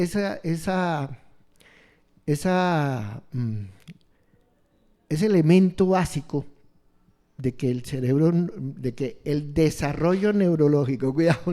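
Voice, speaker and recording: Slow, deliberate, soft-spoken man. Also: a little high. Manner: slow, halting, many restarts and fillers. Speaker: education expert guest. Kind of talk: explanatory interview answers.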